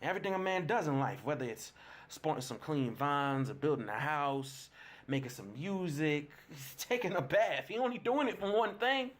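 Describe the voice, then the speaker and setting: An actor plays an older streetwise man holding forth in a stage monologue, pitched high and excitable. He is earthy and cocksure, hammering his points in folksy, emphatic vernacular. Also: moderate pace, close to an everyday voice. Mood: cocky, amused.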